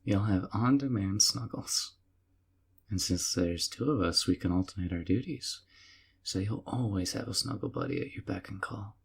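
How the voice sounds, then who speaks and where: A man playing a doting, obsessive young man who keeps the listener, speaking in a calm, hushed, sweetly possessive way in an audio roleplay. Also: low voice, moderate pace, gravelly, slightly rough, heavily acted.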